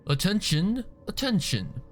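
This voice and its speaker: A formal servant pony from a storybook reading, acted out by a man. The voice sits raised in pitch and speaks in ceremonious, announcing phrases. Soft music plays behind it. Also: big pitch swings, measured pace.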